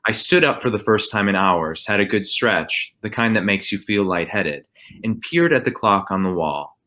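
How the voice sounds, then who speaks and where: A man's voice: lowish voice, lively intonation, normal speed, sharp tone. A man reading a first-person story aloud as scripted narration.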